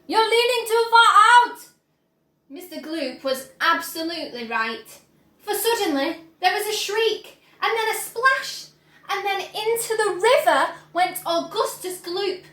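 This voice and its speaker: Young woman doing dramatic kids storytime, really high bright voice, roomy sound. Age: young adult. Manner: expressive, dramatic, measured delivery. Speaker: storyteller reading aloud. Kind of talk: animated children's story reading. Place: bright plant-filled room at home. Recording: roomy indoor echo.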